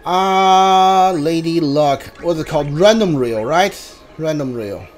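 A man with a high voice narrates his gameplay live in a casual style. He speaks very slowly and haltingly, working out his choices aloud as he goes. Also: lively intonation, slight background noise. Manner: hesitant, halting, thinking aloud. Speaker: game streamer.

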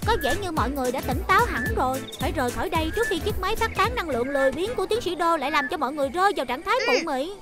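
Woman voicing a very high little cartoon girl. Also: animated, racing, hardly any pauses. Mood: earnest. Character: clever, studious, friendly little inventor girl. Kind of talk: animated cartoon dubbing. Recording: music behind.

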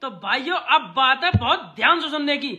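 A man's voice: extremely high pitch, lively intonation, moderate pace, pushed and bright. A man in a selfie video emphatically rallying his fans to come to a meetup.

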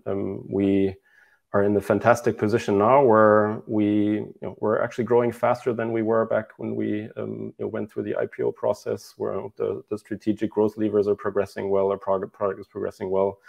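Gravelly-voiced man on a video call. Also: on the low side, level tone, normal speed, a little mellow. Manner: hesitant, many restarts and fillers. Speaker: company CEO, guest. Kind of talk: remote interview answers. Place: bright room at home, indoors.

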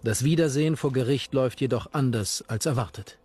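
A man's voice: mid-range voice, a little fast, darkish tone, husky. Male true crime documentary narrator.

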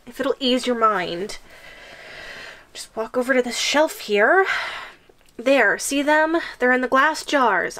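A woman acts out a lovesick giantess in an audio roleplay. Her voice is high and slow, full of giggles and halting, sugary coaxing, with a possessive menace underneath. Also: sharp tone, exaggerated voice. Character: clingy, lovesick yandere giantess, sweet but menacing.

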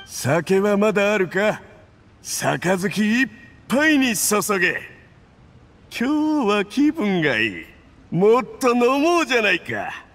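An actor playing an arrogant diplomat in a Japanese video game, speaking in a haughty, halting, gasping way. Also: male voice, high voice, huge pitch swings, a bit bright, full character voice. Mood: jovial, tipsy. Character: arrogant male diplomat schemer, poisoned and weakening. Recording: music behind the voice.

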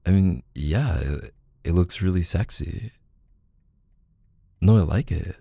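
Male, very low and creaky, for an affectionate, bashful boyfriend in an intimate audio roleplay; hesitant, casual delivery. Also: slow, warm tone, no room sound. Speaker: ASMR boyfriend roleplay performer. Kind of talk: intimate casual one-sided roleplay acting.

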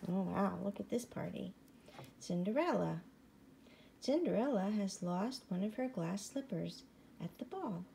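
Slow, soft-toned woman reading to children. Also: medium pitch, animated, gravelly, slight background noise. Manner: gentle, often hushed to a whisper, asking the listener questions. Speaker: bedtime story reader. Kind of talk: reading a picture book aloud to young children.

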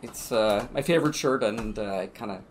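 A middle-aged man playing an awkward, panicky man in an improvised soap opera, halting and apologetic. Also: fairly low pitch, a little hiss or hum, close to an everyday voice. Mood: awkward, nervous. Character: awkward, panicky man after a one night stand.

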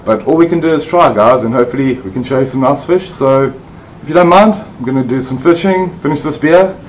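A middle-aged man with a South African accent chats easily to an action camera set up close to him, loose and friendly, with lots of "um" and "you know". His voice sits at an ordinary middle pitch. Behind him there is a faint wash of ambient noise. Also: brisk, poor audio. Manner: relaxed, chatty, with fillers. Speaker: fishing vlogger. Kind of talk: casual vlog to camera. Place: outdoor covered balcony, then an indoor living room.

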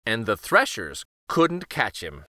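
A man reading a children's picture book aloud in a deliberate, expressive storytelling manner. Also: lowish voice, no room sound, clean studio sound.

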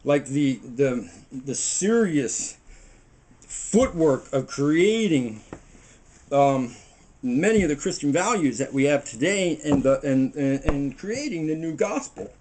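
A middle-aged man talks through a loose, preachy monologue while working dough at his kitchen table. His voice sits a bit high for a man, and it is picked up by a camera a few feet away in a small home kitchen. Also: lively intonation, takes it slowly, darkish tone, slight background noise. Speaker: home cooking show host. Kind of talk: rambling casual monologue while baking. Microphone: camera mic on the table a few feet away.

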